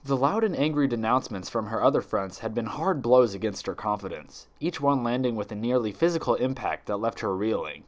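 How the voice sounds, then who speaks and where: A male narrator reading a dramatic story aloud. His mid-range voice moves up and down a lot as he tells it. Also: medium pitch.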